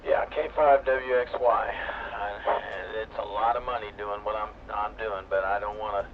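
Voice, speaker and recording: A man in his sixties, a ham radio operator talking in a relaxed, unhurried, teasing way. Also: lowish voice. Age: 60s. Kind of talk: radio net chat. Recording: tinny two-way radio with clear hiss.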